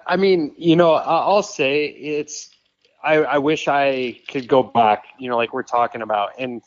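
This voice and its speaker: A man in his thirties chats as a podcast guest, rambling along quickly with lots of "like" and "you know." His voice sits in a mid range. The recording sounds somewhat dull and muffled. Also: medium pitch, no echo. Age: 30s. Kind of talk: casual conversation.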